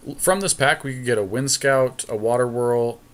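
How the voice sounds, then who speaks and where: A man's voice: mid-range voice, normal speed. A man co-hosting a podcast, chatting casually and thinking aloud as he weighs options during a card game draft. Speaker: podcast co-host.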